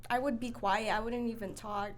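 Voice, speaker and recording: Soft-spoken teen girl from the Philippines. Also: a little high, fairly even intonation, moderate pace. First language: not English. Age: teens. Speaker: guest, student body vice president. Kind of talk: casual interview.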